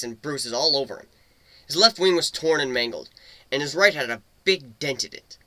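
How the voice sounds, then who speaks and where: Bright, forceful man reading dramatically. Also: mid-range voice, no echo. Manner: intense, dramatic, swearing delivery. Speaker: amateur story narrator. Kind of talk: dramatic reading of fan fiction.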